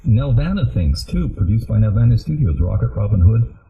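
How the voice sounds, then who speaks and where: An older man hosting a conversational interview, with halting, hesitant phrasing. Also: lowish voice, lively intonation. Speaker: interviewer, host. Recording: dull lo-fi audio.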